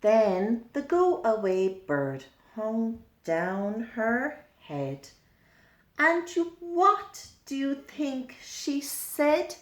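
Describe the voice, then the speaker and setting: A woman's voice: on the high side, big pitch swings, very slow, echoey room. A woman telling a picture-book story to young children, expressively prompting them with questions.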